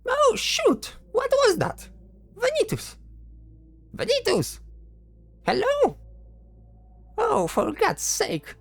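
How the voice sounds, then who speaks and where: Very high, fretful talking skull. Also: female voice, huge pitch swings, slow, gravelly, pauses often, no room sound, exaggerated voice. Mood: panicked. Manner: exasperated, sarcastic. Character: fretful, sharp-tongued talking flying skull companion. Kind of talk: fantasy audio drama acting.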